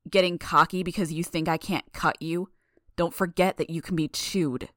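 A woman's voice: lowish voice, fairly even intonation, normal speed, fairly bright tone, no room sound, clean studio sound, close to an everyday voice. A woman voicing a hostile, distrustful young woman in an audiobook, curt, combative and given to swearing. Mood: threatening. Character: hostile, foul-mouthed young woman, aggressive and distrustful loner. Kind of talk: dramatised audiobook dialogue.

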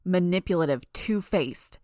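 An aggressive, distrustful young woman, as a female audiobook narrator plays her. She speaks in short combative jabs with plenty of swearing. The voice sits a little low, in a very dry recording. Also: expressive, unhurried, warm tone, no room sound. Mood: hostile, accusing. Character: hostile, foul-mouthed young woman, aggressive and distrustful loner. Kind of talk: dramatised audiobook dialogue.

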